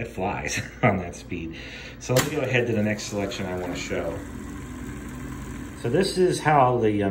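A middle-aged man giving a casual, rambling demonstration as a vintage gear hobbyist. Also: low-pitched. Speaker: vintage tape recorder collector demonstrating a machine. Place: home dining room. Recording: roomy echo, steady background noise.

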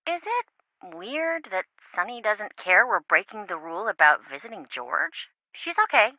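A woman voicing a quirky, sarcastic, excitable young reality-show contestant in a video game, with playful theatrical quips. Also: mid-range voice, unhurried, dry recording, muffled recording, heavily acted. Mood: animated, quippy.

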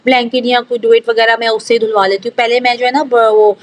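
Higher-pitched woman chatting casually. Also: flat delivery, fast talker. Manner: rambling, conversational, with repeated filler phrases. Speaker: home vlogger. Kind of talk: casual chatty vlog voice-over.

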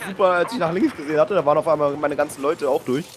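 A man's voice: fairly high pitch, racing, a crowd in the background. A young man casually commentating a game with a friend, using slang and English exclamations. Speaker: gaming video commentator. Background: shooter game gunfire and ambience.

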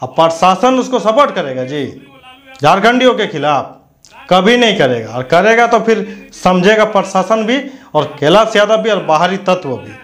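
A man's voice: high voice, sing-song, moderate pace, fairly bright tone. A man delivers a combative political rant to camera on a lapel mic, scornful, abusive and confrontational. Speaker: news commentator.

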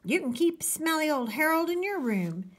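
A woman's voice: high voice, very animated, moderate pace, pitched above the performer's own voice. A woman voicing a bossy older boy in a storybook, complaining and mocking. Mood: taunting. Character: bossy, taunting older boy, the big brother.

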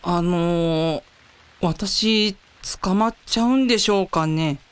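A woman's voice: on the low side, slow, smooth. A woman playing a polite, anxious young female research scientist in a radio drama. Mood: nervous.

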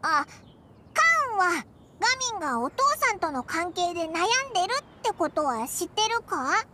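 A woman voicing a small, cheeky, gluttonous floating creature in an anime game, with a squeaky childlike voice and blunt boyish speech. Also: animated, moderate pace, pushed and bright, a little hiss or hum, heavily acted. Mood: hesitant, concerned.

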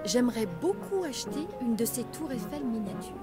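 A woman's voice: a little high, big pitch swings, normal speed, loud music behind the voice. A woman voicing a polite, enthusiastic mother pig in a children's cartoon game. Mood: pleasantly delighted.

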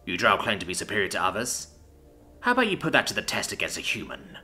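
Cocky young man, acted by male narrator, sarcastic, defiant, casual, bright lively voice. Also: on the low side, fast talker, faint music behind, full character voice. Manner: casual, condescending.

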